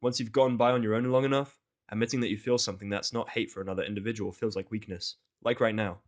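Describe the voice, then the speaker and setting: A man's voice: fairly low pitch, steady tone, quick pace. A man reading scripted first-person narration for an audio drama.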